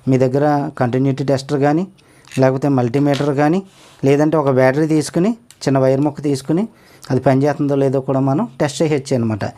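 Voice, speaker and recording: A man walks viewers through a speaker repair, talking quickly and steadily as he works. His voice is dark and mellow, and he keeps pointing things out step by step. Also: mid-range voice, steady tone. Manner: explains step by step, often asks the viewer to look. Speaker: electronics repair tutor. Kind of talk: hands-on repair tutorial.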